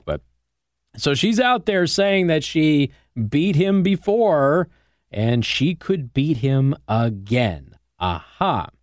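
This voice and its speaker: A man's voice: fairly high pitch, dry recording, studio quality. An American man hosting conservative political talk radio, chatting in a conversational way with sardonic asides. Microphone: close studio microphone.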